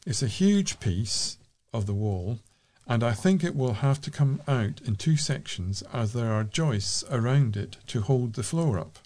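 A man's voice: mid-range voice, expressive, unhurried, a little mellow. A man reading scripted local news stories as a volunteer newsreader.

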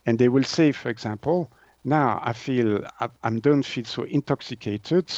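A man's voice: mid-range voice, moderate pace, a little mellow, dry recording, muffled recording. A man from Switzerland with a Swiss French accent, explaining things as an interview guest in his second language, often searching for words.

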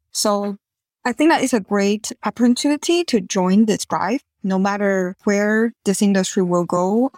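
A woman, answering interview questions in an earnest, explanatory way with slightly halting phrasing over a remote call. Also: medium pitch, a little mellow, no echo. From Taiwan. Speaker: podcast guest.

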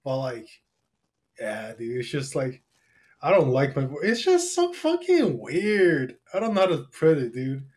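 A man's voice: mid-range voice, huge pitch swings, unhurried, darkish tone. A young man chatting casually on a podcast, slangy and swearing often, calling people dude. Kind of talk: casual chat.

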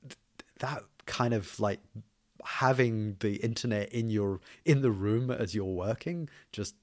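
Low-voiced man with soft Scottish-English accent. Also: a little slow. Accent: mixed Scottish and southern English. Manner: hesitant, many restarts and fillers. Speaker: podcast guest. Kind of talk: casual podcast conversation.

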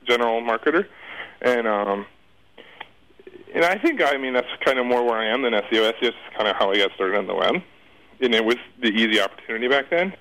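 A man's voice: mid-range voice, lively intonation, fast, sharp tone, low-quality recording. Rambling, informal man in a casual interview. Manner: rambling, hesitant, informal and slangy. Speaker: interview guest, internet marketer.

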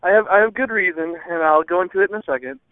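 A man calling in to a show over the telephone, rambling casually and hesitantly with many ums and pauses. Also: high voice, lively intonation, dry recording. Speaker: listener calling in. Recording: narrow, dry phone-line sound.

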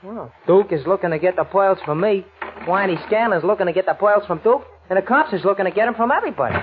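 An actor playing a nervous, loyal, dim small-time crook in 1940s American radio style, talking in ungrammatical slang. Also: male voice, sits high, animated, fast, a little hiss or hum. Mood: eager, worried. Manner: slangy, ungrammatical, deferential to his boss. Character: nervous, loyal, none-too-bright small-time crook sidekick, man. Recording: muffled narrow-band vintage radio recording.

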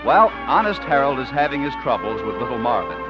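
A man's voice: medium pitch, big pitch swings, a little fast, over music. A man with an American accent doing polished, scripted announcing and narration as a 1950s network radio announcer. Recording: dull, slightly noisy 1950s radio recording.

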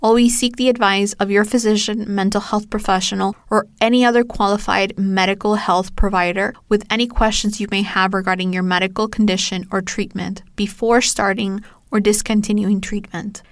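A woman's voice: medium pitch, steady tone, normal speed, few pauses, no room sound, studio quality. A woman hosting a podcast, delivering a punchy, irreverent intro with casual swearing, then a disclaimer. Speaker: podcast host. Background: music.

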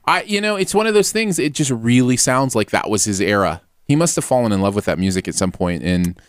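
A man co-hosting a casual music review chat, animated and joking, laughing often. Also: medium pitch, a little fast, clear, resonant tone, no room sound, studio quality. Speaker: podcast co-host. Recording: music playing in background.

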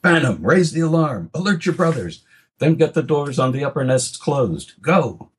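A man's voice: fairly low pitch, expressive, normal speed, slightly rough, voice pushed up. A man voicing a middle-aged father who breeds dragons and barks urgent orders to his sons. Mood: commanding.

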